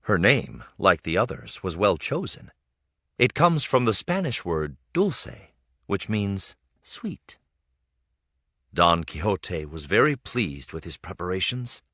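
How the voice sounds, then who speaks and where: Male narrator for children's read-aloud: lowish voice, very lively intonation, dramatic phrasing, dry close sound. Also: slow pace, no room sound. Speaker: storybook reader.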